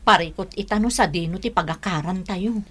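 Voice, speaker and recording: A woman's voice: on the low side, brisk, fairly bright tone. An actress playing a poor, worried and resigned neighbour woman in an Ilocano radio drama. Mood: resigned worry.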